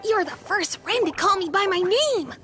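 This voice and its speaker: A woman's voice: very high voice, expressive, normal speed, a bit bright, soft background music. A woman voicing a small, young, innocent dragon in a video game, with a childlike voice and a hesitant, earnest manner. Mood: joyful, grateful.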